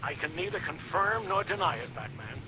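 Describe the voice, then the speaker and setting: A man's voice: high-pitched, big pitch swings, a little fast. A man playing a serious, guarded police official in a video game, with noise behind him. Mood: dutiful. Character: earnest, guarded male police official, terse and dutiful. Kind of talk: video game dramatic acting. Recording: noisy vehicle-like ambience.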